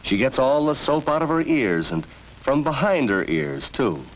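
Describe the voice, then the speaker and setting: A man's voice: mid-range voice, sing-song, normal speed. An American man narrating a 1940s educational film from a script, in an instructive, storytelling manner. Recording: dull vintage film soundtrack, faint noise.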